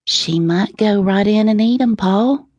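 A woman voicing a seven-year-old country girl in an audiobook, with a sweet, soft Southern drawl and rustic dialect. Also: medium pitch, measured pace, no room sound, dull audio, pitched above the performer's own voice, lightly acted. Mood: urgent, innocent. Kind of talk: audiobook character reading.

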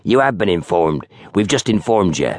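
A man's voice: low voice, big pitch swings, normal speed, no echo, clean studio sound. Man doing a snappish, very old railway porter. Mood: irritable. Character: very old, irascible male porter, vehement unfocused rage.

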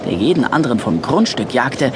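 A man's voice: medium pitch, big pitch swings, fairly quick, very noisy, close to an everyday voice. A man acting a wry, resentful young narrator looking back on boyhood in a scripted audio drama.

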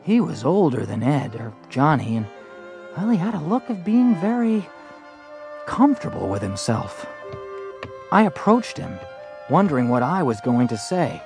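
Earnest young western teenager, lively pitch. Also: male voice, normal speed, pauses often, over music. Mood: reflective. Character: earnest fourteen-year-old western boy narrating his story. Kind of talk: first-person dramatized audiobook narration and dialogue.

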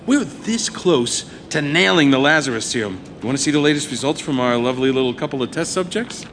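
An actor playing an earnest, excitable lab colleague in an audio drama, conversational with a stammering hesitance. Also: male voice, medium pitch, fairly quick. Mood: excited. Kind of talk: live audio drama acting. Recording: live recording with faint ambient noise.

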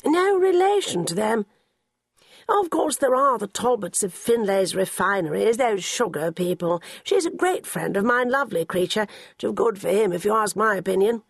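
A pompous, fussy old English gentleman drawls in a grand, affected way, as a woman puts him on. His pitch swoops widely and a husky edge runs through the voice. The sound is close and dry. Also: big pitch swings, moderate pace, no room sound, exaggerated voice.

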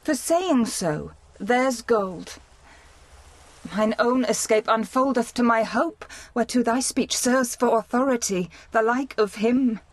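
A woman's voice: a little high, slow, no room sound. A woman playing a shipwrecked young noblewoman, grieving yet hopeful, in emotive Shakespearean audio drama. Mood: hopeful, curious.

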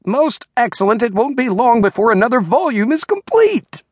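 A man's voice: extremely high pitch, big pitch swings, dry recording, clean studio sound, voice pushed up, full character voice. Guy doing a absent-minded old preacher type for an audiobook, rambling n flustered, voice goes up and down a lot. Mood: pleased, officious. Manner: halting, digressive, self-interrupting. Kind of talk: audiobook character voice.

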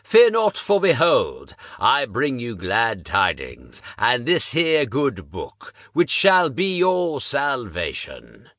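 A man's voice: mid-range voice, huge pitch swings, takes it slowly, hardly any pauses, a bit bright, no echo, studio quality, heavily acted. A man voicing a bold, fervent preacher who harangues a monster with sermons and insults, his voice almost singing. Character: bold fire-and-brimstone male preacher, booming.

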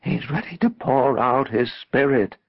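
A man's voice: mid-range voice, animated, measured pace, dry recording, muffled recording, voice pushed up. A man voicing a robust, devout woman in her forties, ecstatic and prophetic, in an audiobook. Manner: ecstatic, breathy, prayerful proclaiming. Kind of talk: audiobook character voice.

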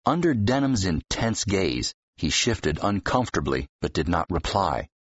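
A man's voice: low-pitched, big pitch swings, a little slow, breathy edge, no echo, dull audio. A man reading scripted fiction narration as an audiobook narrator.

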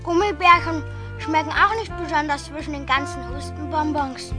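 A woman's voice: high-pitched, normal speed, over music, lightly acted. A woman playing a curious, contrary young girl in a children's audio play. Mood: curious, stubborn. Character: young girl sick in bed, curious and stubborn.

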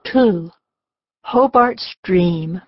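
Low-voiced woman reading a children's story. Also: drawn-out words, dull audio, noisy background. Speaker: audiobook narrator. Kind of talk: scripted children's story narration.

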